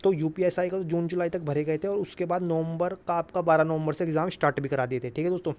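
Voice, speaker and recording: A man's voice: a little high, steady tone, quick pace, darkish tone. A man with a North Indian accent explaining updates informally to viewers, repetitive and insistent, mixing in English words. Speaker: exam-update YouTuber.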